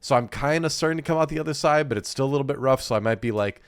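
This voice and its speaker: A man's voice: medium pitch, very fast, smooth, no echo, studio quality. A man in his thirties co-hosting a casual podcast, nasal and congested from a cold, rambling with fillers and laughs. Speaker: podcast co-host. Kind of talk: casual chat.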